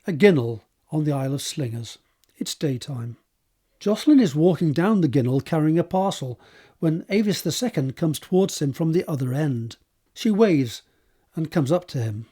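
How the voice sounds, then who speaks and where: A man's voice: on the high side, expressive, moderate pace, dark tone, breathy edge. A man reading scripted stage directions as the narrator of an audio drama.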